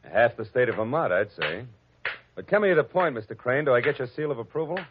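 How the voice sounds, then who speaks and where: An actor playing a wry, tough private detective with dry wisecracks, in 1940s radio acting on a dull lo-fi old radio recording. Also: male voice, mid-range voice, sing-song, brisk, a little hiss or hum, close to an everyday voice.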